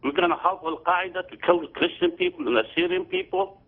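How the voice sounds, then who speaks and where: A man's voice: high-pitched, a little fast. An older man from Syria calling in by phone, speaking broken English in an emphatic, repetitive way. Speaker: caller. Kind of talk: call-in phone comment. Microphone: telephone. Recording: tinny phone line.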